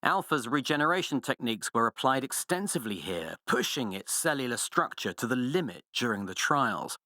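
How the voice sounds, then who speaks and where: An actor playing a cold, pompous, sadistic scientist villain in a video game, speaking with condescending, precise diction. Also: male voice, mid-range voice, sing-song, brisk, pushed and bright, no room sound, studio quality. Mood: clinical detachment. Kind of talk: video game villain voice acting, monologues and research logs.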